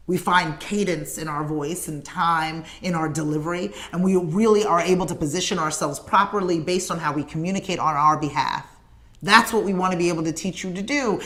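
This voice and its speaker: A woman's voice: lowish voice, fairly bright tone. A woman hosting a morning livestream show, making an earnest, emphatic appeal to viewers in a repetitive rallying cadence. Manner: addresses viewers in comments by name. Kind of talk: direct-to-camera livestream appeal.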